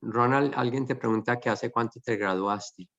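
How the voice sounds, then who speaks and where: A man hosting a conversational webinar, explaining things in halting, repeated phrases. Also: mid-range voice, fairly bright tone, muffled recording. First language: Spanish. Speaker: host.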